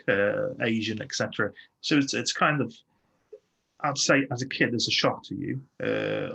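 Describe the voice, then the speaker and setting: A man's voice: on the low side, vocal fry, a bit bright. A young adult man from Slovakia, a police constable, speaking earnestly on a video call interview with many restarts.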